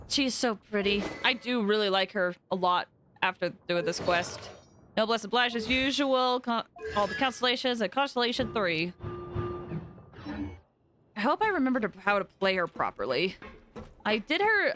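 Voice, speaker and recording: A woman livestreaming a game, casually commenting on play and chatting to viewers with rambling, expressive asides. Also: medium pitch. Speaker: game streamer.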